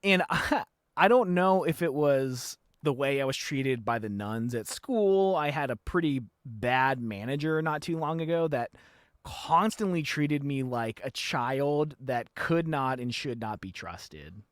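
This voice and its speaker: A man in his early thirties hosts a podcast with a loose, chatty delivery, swearing freely and laughing between thoughts. His voice sits at an ordinary middle pitch. The recording is dry and close, with no room sound. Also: lively intonation, measured pace, no room sound. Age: 30s. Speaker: podcast host. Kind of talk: casual chat.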